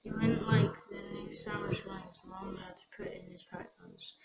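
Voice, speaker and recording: A young reader reading a children's picture book aloud, haltingly, stumbling over and repeating words. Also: mid-range voice, flat delivery, over loud music. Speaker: story reader.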